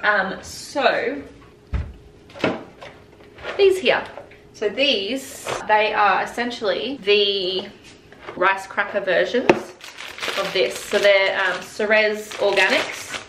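A woman's voice: medium pitch, steady tone, very slow, pauses often. A woman chatting casually to camera as a health vlogger, recorded indoors at home. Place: indoors in a home kitchen and living room. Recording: roomy echo with clear background noise.

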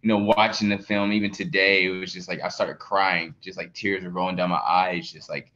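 Deep-voiced man, earnest and repetitive. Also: flat delivery, brisk, bright, forceful tone. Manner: earnest, repeats words, many fillers. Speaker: documentary subject, athlete. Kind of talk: casual interview answers. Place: indoor room with plain wall, video call. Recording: dull lo-fi video call audio.

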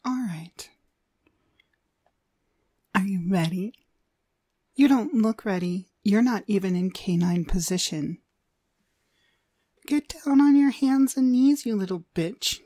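A woman's voice: medium pitch, expressive, warm tone, dry recording, clean studio sound. A woman playing a cruel, domineering demonic dog trainer in an ASMR roleplay, contemptuous and commanding in her delivery. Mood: scolding, commanding. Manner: contemptuous, commanding, slow and deliberate. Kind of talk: ASMR roleplay, frequent breathy whispering.

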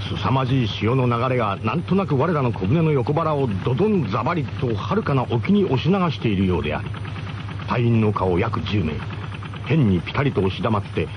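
An actor playing a brash, mock-grandiose expedition leader who narrates a comic radio drama in pompous formal phrasing. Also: male voice, mid-range voice, noisy background, close to an everyday voice. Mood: mock-heroic.

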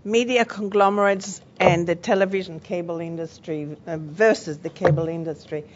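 A woman's voice: fairly low pitch, steady tone, normal speed. Accented woman speaking on a panel. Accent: foreign accent. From born in Israel, raised in Europe and Australia. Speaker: panelist, television network owner. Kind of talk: panel presentation. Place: conference room at a workshop. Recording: dull lo-fi conference audio with faint hum.

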